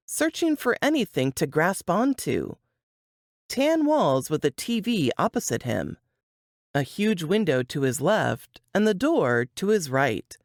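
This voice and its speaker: Slow, very expressive woman reading fiction. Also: on the low side, no room sound, clean studio sound. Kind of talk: expressive fiction audiobook narration.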